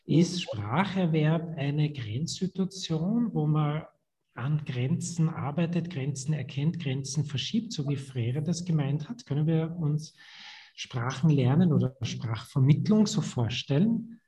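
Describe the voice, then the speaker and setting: A man with a mellow, slightly raised voice hosts an academic podcast. He poses long, elaborate questions in a measured, reflective tone. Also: fairly high pitch, animated. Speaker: podcast host and interviewer.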